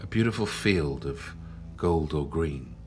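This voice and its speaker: Male meditation guide, deep voice, soothing and unhurried, leaving long pauses, soft music underneath. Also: lively intonation. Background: background music.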